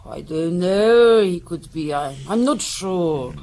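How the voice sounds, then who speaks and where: A woman's voice: on the low side, animated, slow pace, a little mellow. An actress playing an aggrieved, easily upset village mother in a radio drama, with a sulky, plaintive manner. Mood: sulky, evasive.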